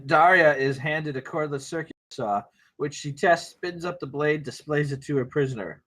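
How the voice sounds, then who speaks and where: A man's voice: a little high, normal speed, a bit bright, rough recording. A man narrating a screenplay table read, reading action lines aloud informally with wry asides.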